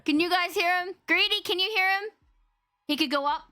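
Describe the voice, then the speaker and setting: A woman with a loud, boomy voice hosting a casual livestream podcast, effusive, playful and rambling as she chats with her guest. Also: fairly quick. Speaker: podcast host and interviewer.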